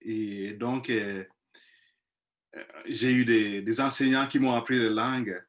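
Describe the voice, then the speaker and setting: A man's voice: mid-range voice, fairly even intonation, slow pace. Hesitant man recounting experience. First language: not French; speaks five languages. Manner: hesitant, many restarts and repeated words. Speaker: missionary priest, panel guest. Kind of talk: personal testimony in a webinar. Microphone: video call.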